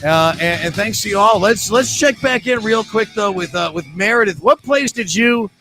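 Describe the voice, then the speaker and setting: A man's voice: high voice, normal speed, over music. A man hosting a live trivia game, joking with players and rambling through sponsor reads.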